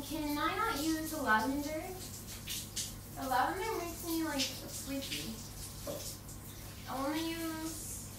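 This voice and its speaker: A woman doing an intimate girlfriend roleplay in casual one-sided chat, playful and teasing, often halting and repeating words. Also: high voice, recorded from a distance. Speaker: girlfriend roleplay audio performer. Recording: very echoey bathroom-like sound, off-mic, background water noise.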